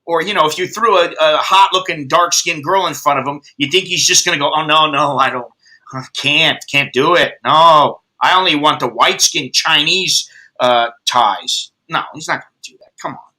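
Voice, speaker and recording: American guy late 50s, rambly jokey chat, swears a lot, really bright voice, kinda high. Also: moderate pace, low-quality recording. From United States. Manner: rambling, profane, joking. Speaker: host. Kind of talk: casual chat.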